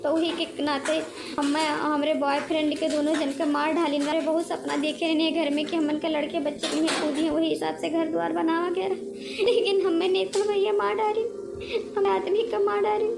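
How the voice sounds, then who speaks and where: A woman acts out a female witch ghost from a cartoon comedy, wronged and resentful. Her voice sits extremely high. She hammers her words home by saying them again. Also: flat delivery, normal speed, gravelly, a crowd in the background. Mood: aggrieved, pleading. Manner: emphatic, repeats words for force. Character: aggrieved female witch ghost, murdered before her wedding. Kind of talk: animated comedy cartoon voice acting in dialect.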